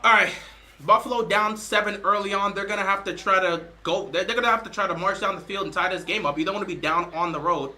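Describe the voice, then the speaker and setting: An excitable young man calls a football game play by play on his live stream. His voice sits high and rings bright and forceful, and between plays he slips into loose chat. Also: quick pace. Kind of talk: casual play-by-play commentary.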